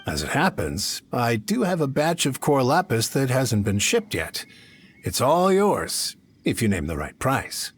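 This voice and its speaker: A man's voice: mid-range voice, wildly swooping pitch, measured pace, a little hiss or hum. An actor voicing a greedy middle-aged male merchant in a game, smug and haggling. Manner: smug bargaining, turns pleading. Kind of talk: game voice acting.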